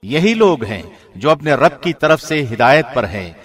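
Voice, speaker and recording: A man reading a scripture translation from a script in a formal, measured, clearly enunciated way. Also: fairly high pitch, sing-song. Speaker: translation reader, radio host.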